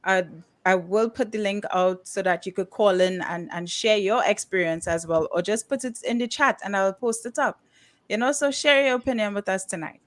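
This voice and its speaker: A woman's voice: mid-range voice, moderate pace. A woman with a Caribbean accent hosting a casual livestream talk show, chatty, with frequent "um"s and laughs. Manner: chatty, laughs often, uses fillers like "um" and "you know". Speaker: podcast host.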